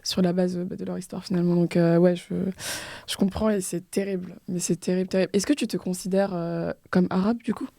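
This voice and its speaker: A woman's voice: on the low side, steady tone, a little fast, vocal fry, mellow, dry recording. A woman interviewing a guest in a chatty, informal way. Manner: chatty, informal, laughs easily. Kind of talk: casual interview conversation.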